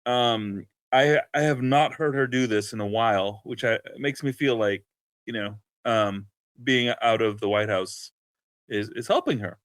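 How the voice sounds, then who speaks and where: A man co-hosting a podcast with casual political commentary, speaking in a halting, sardonic way. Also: medium pitch, fairly bright tone, smooth, dry recording. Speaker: podcast co-host.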